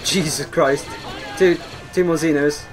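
A young man giving excitable, exclaiming commentary while playing a co-op game. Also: a little high, a little slow, loud music behind the voice. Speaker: gaming video player.

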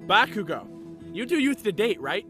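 A man's voice: sits high, big pitch swings, moderate pace, fairly bright tone, soft background music. A man playing a distressed, slangy teenage boy hero student in an anime parody dub, colloquial and urgent. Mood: coaxing.

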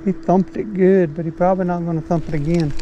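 A man's voice: high voice, fairly even intonation, quick pace, mellow, muffled recording. A middle-aged man, chatting in a laid-back, folksy way while fishing from a small boat, recorded on a head-mounted camera. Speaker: fishing vlogger. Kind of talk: casual narration while fishing. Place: small boat on a shaded swamp, outdoors. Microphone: head-mounted action camera. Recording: faint outdoor noise.

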